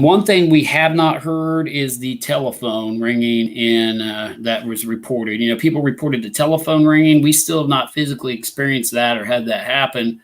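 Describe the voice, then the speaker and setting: A man from Illinois hosting a live talk show, chatting casually and rambling with many fillers and restarts. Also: mid-range voice. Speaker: ghost hunting group host.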